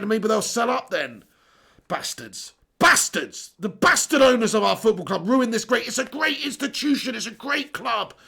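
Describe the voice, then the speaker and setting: A man with a fairly high voice runs a live fan stream, reading viewer comments and venting bluntly with frequent swearing. His voice is gravelly and strained, worn raspy and hoarse as if he has been shouting all day. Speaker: fan channel host reading live chat.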